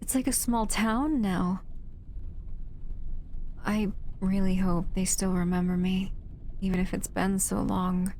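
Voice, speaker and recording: A woman acting a protective, wistful monster who escaped a lab, speaking gently to the listener in an audio roleplay. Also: medium pitch, a little hiss or hum, natural voice. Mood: hopeful, awed.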